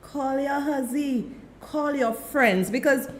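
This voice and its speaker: A woman's voice: high voice, extremely slow. A woman with a Trinidadian accent hosting her own radio talk show, chatting directly to her listeners into a studio mic. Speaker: radio and web show host.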